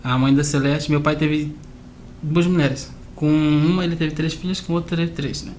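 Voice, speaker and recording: Male interview guest, slightly high voice, conversational storytelling with frequent check-in tags, some background noise. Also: steady tone, moderate pace, a little mellow. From grew up between southern Brazil and Argentina.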